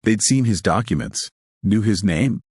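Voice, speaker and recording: A man's voice: on the low side, expressive, unhurried, a little mellow, slightly rough, no echo, clean studio sound. A man reading scripted dramatic narration of a science fiction horror story.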